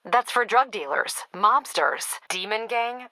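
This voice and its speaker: A woman's voice: medium pitch, lively intonation, measured pace, sharp tone, dry recording, rough recording. An American woman playing a tough, driven police captain in a video game, speaking in a clipped, authoritative, dry manner. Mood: businesslike, dry.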